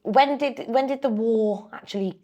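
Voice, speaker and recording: A woman's voice: a little high, normal speed, dark tone, lo-fi sound. A woman in her mid twenties from England, hosting an interview podcast and asking questions in an encouraging way, often repeating words. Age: 20s. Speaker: podcast host. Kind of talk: casual interview talk.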